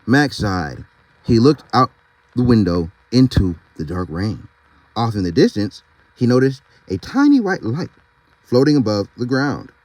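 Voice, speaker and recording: A man's voice: medium pitch, very animated, a little slow, darkish tone, no echo, rough recording. A man reading a picture book aloud, with informal, slangy, chatty asides along the way.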